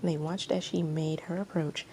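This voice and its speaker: A woman narrates a story expressively in a lowish, mellow voice. There is some creak in her tone, and a faint noise sits behind her amateur recording. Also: steady tone, normal speed. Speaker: fan fiction reader.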